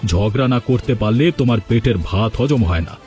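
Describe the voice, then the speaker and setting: A man's voice: medium pitch, brisk, darkish tone, soft background music, lightly acted. A man playing a stubborn, irritable older village husband in a cartoon, brushing off complaints. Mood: irritated, dismissive. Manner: irritable, dismissive. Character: grumpy scheming old village husband, stubborn and dismissive. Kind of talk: cartoon dialogue acting.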